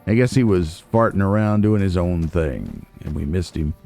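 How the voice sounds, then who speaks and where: A man's voice: sits low, expressive, moderate pace, creaky, warm tone. A man hosting a video game playthrough, chatting casually and musing aloud with wry asides. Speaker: let's play host. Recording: game music behind the voice.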